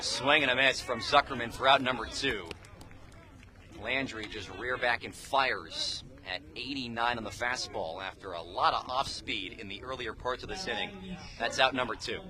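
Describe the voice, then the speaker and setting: A man calling live baseball play-by-play for radio in clipped, telegraphic phrases. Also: medium pitch. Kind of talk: live sports commentary. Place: outdoors at a baseball game.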